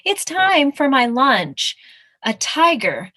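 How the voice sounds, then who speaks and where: A woman's voice: high voice, very animated, takes it slowly, bright, forceful tone, pitched above the performer's own voice, exaggerated voice. A woman voicing a small, defiant, boastful mouse who insists on being fierce, in a children's picture-book read-aloud. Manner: defiant, bragging, growls little grrrs.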